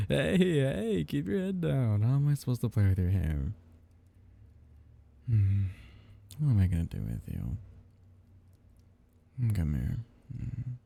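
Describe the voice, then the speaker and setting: A man playing a tender, possessive vampire count in an intimate ASMR roleplay, speaking in soft, teasing murmurs with a husky, gravelly voice. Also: on the low side, big pitch swings, slow, pauses often, full character voice. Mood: tender, soothing.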